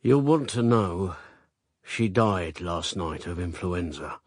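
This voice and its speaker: A low, unhurried male voice, close and very dry. He is a coarse, sardonic rival in love, bitter and blunt, in a radio play. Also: expressive, no room sound, full character voice. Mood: bitter, confessional. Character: coarse, bitter male rival and lover, self-styled common man. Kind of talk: radio drama acting.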